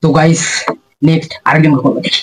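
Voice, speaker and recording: A man's voice: fairly high pitch, fairly even intonation, brisk, breathy edge, soft background music, poor audio. A young man, chatting casually and crudely as a prank-call video host. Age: young adult. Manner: informal, slangy, crude. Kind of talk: casual chat to viewers and prank calls.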